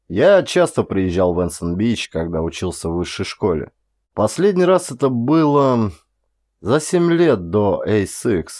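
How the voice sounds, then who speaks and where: A man from Russia narrating an audiobook story in a dramatic, expressive reading, lifting his voice for quoted speech. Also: on the low side.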